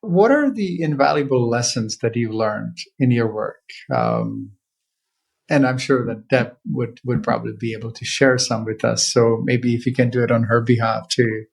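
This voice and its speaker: Man with a husky voice. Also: mid-range voice, lively intonation, poor audio. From Czech Republic. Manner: earnest, halting, with many fillers and restarts. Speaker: veterinarian podcast host. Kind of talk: casual interview conversation.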